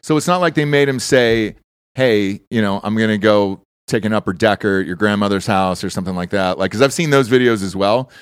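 A man's voice: fairly low pitch, brisk, clean tone, no echo, studio quality. A man hosting a podcast in casual, blunt, profane chat, asking plenty of questions. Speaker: podcast host.

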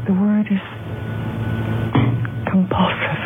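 An actress playing an earnest, intense woman in a radio drama, speaking in urgent, halting, insistent phrases. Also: mid-range voice, flat delivery, loud music behind the voice, close to an everyday voice. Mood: tense, realizing. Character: earnest, intense woman piecing together a murder, growing afraid. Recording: noisy, phone-like old radio broadcast.

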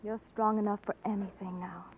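An actress playing a politician's devoted wife in a 1940s radio drama, earnest, tender and emotive. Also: female voice, mid-range voice, level tone, fairly quick, gravelly, slightly rough. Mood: proud, hopeful. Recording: muffled, tinny old radio recording.

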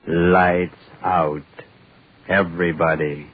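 An actor playing an ominous horror show announcer in 1940s radio style, intoning solemnly with drawn-out pauses between words. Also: male voice, deep voice, flat delivery. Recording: lo-fi 1940s radio, echoing, music.

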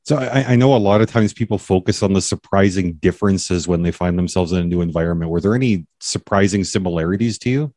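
A man's voice: low-pitched, fast talker, few pauses, mellow, dry recording, studio quality. A man hosting an interview podcast, asking curious, rambling questions with frequent restarts. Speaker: podcast host. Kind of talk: casual interview chat.

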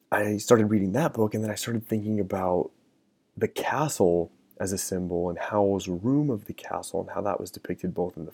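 Man, low voice, gravelly, mellow tone, reflective podcast talk, lots of ums. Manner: thoughtful, meandering, with many fillers. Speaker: podcast host.

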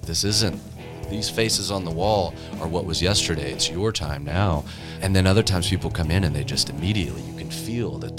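A man speaking conversationally and earnestly, reflecting and telling stories in a discussion. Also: sits low. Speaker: studio staffer and guide.

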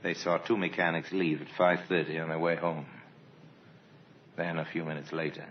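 A grave male narrator of an old radio crime series, with an extremely deep voice. He tells it deliberately and portentously. The recording is dull and clearly noisy. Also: level tone. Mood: grave, measured.

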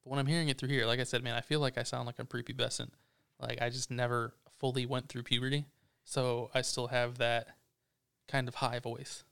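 A man's voice: mid-range voice, little pitch movement, brisk, vocal fry, fairly bright tone, smooth. A man co-hosting a casual podcast chat, joking and boastful, swearing freely. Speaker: podcast co-host. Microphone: headphones on, podcast mic.

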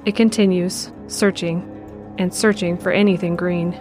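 A woman narrating an audio drama in a measured, descriptive way. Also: fairly low pitch, steady tone, music in the background. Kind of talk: scripted narration.

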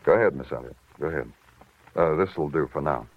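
An American actor playing a wry, world-weary New York police detective in a vintage radio noir drama. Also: male voice, rumbling low, brisk, slight background noise. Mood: businesslike. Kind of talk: 1950s radio noir acting and narration. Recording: muffled old radio recording with music.

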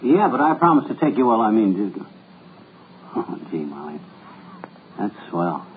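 An actor playing a blustery, folksy middle-aged husband in 1940s radio comedy. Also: male voice, lowish voice, very animated, moderate pace. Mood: cheerful. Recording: tinny old radio broadcast with faint hiss.

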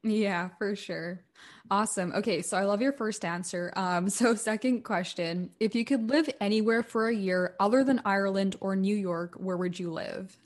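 A woman's voice: medium pitch, normal speed. Upbeat woman hosting a podcast. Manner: upbeat and enthusiastic. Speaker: podcast host. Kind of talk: casual interview chat.

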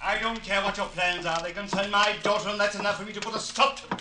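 A man's voice: high voice, steady tone, fast, lightly acted. An actor in an old radio drama playing a small, fussy, wealthy middle-aged stepfather who speaks formally, with indignant, precise diction. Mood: indignant, stern. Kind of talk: 1950s radio drama acting. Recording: noisy old radio recording with clicks.

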